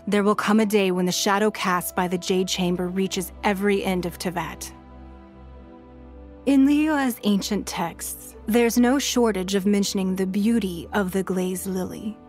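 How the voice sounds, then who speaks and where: A woman plays a rich, calculating noblewoman from a fantasy video game. She speaks slowly at a middling pitch, with formal, haughty poise and deliberate phrasing. Music plays behind her voice. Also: natural voice. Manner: measured, formal, haughty, unhurried. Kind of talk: video game character voice lines.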